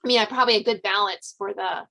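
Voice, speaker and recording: A woman's voice: mid-range voice, fairly even intonation, quick pace, creaky, pushed and bright. A woman hosting an interview podcast in a casual, chatty way, thinking aloud as she talks. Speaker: podcast host interviewing a novelist. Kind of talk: casual chat.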